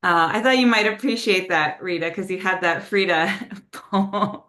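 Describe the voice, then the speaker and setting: Lowish-voiced woman reading her poetry. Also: fairly bright tone. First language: Spanish. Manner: chatty and hesitant between poems, full of "um" and "like"; slower, measured delivery with Spanish phrases when reading poems. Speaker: poet reading her own work. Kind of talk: poetry reading with casual spoken introductions. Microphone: video call.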